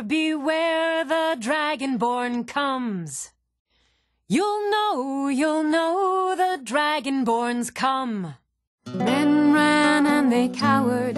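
A woman's voice: sits high, expressive, extremely slow, full character voice. A woman performing as a female tavern bard, singing heroic ballads in a fantasy video game. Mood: solemn, singing. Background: plucked string instrument music.

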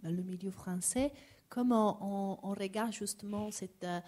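Italian woman asking a halting question. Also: medium pitch, warm tone. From Italy. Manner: halting, searching for words, repeating words. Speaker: audience member asking a question. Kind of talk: long spoken question at an academic panel. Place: lecture hall at a public panel.

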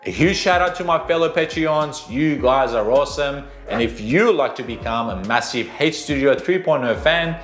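A man's voice: medium pitch, animated, normal speed, hardly any pauses, a bit bright. Upbeat male narrator in a roomy space. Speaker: home theater channel host. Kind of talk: scripted video narration. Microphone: lapel mic. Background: background music.

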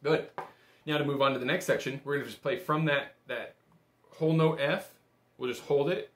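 A man's voice: medium pitch, steady tone, moderate pace, a bit bright, slight background noise. A man in his thirties giving an informal, step-by-step music lesson in an easygoing, encouraging way, recorded in a small room. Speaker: bagpipe instructor. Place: small indoor home workroom. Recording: roomy, echoing small-room sound.

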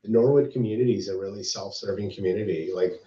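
An enthusiastic man from a sawmill company talks on a video call, often restarting and repeating words. His voice sits a little low. The echoey office sound comes through dull. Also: fast talker, dark tone. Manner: enthusiastic, hands-on, repeats himself. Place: office room, seated with a colleague.

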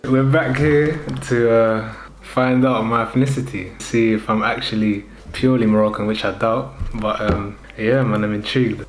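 Low-voiced young man in echoey room. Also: fairly even intonation, normal speed, few pauses, soft background music. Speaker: participant in a DNA test video. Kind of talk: casual reaction talk to camera. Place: small bare room, indoors, at a desk. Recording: roomy echo, slightly distant.

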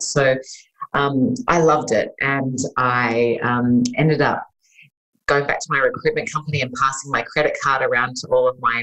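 A woman's voice: rumbling low, a bit bright, rough recording. An Australian woman in her forties telling her story as an interview guest, chatty and full of fillers, on a video call with a podcast mic. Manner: chatty, lots of "um" and "you know". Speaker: guest entrepreneur and author. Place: home room by a plant wallpaper, video call. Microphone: podcast mic in front.

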